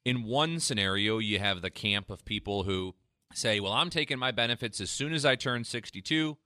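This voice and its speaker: A man hosting a retirement finance radio show, pitching a free tax analysis and explaining when to claim benefits. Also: moderate pace, pushed and bright, no room sound, clean studio sound. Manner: persuasive sales pitch, then explanatory. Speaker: financial advisor radio host.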